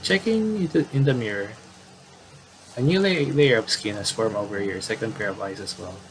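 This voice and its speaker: Guy with kinda low voice doing a chill game playthrough, reads text out and stumbles, faint noise. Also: normal speed. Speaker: video game commentator. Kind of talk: casual playthrough, reading visual novel text aloud.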